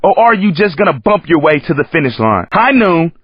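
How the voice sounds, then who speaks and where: Husky, high-pitched American street-racing coach. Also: male voice, very animated, brisk, no room sound. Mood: joking, urging. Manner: slangy, teasing, streetwise. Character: cocky, hip male San Francisco street-racing coach, joking and hyping. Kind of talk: video game character commentary. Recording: muffled lo-fi game audio, dry.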